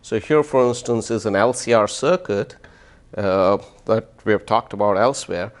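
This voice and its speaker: A man with a fairly low voice lectures through a hands-on demonstration as a professor. He explains step by step, pausing to find words and tagging points with brief check-in questions. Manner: explanatory, hesitant pauses, checks in with "right?" and "okay?". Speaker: physics professor.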